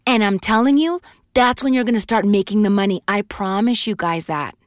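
A woman in her thirties who is a voiceover artist, giving emphatic, animated advice in a casual podcast interview. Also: mid-range voice, fairly quick, no room sound, studio quality. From Puerto Rico.

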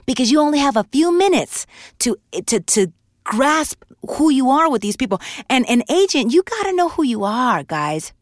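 A woman in her thirties who is a voiceover artist, giving emphatic, animated advice in a casual podcast interview. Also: a little high, no room sound, clean studio sound. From Puerto Rico.

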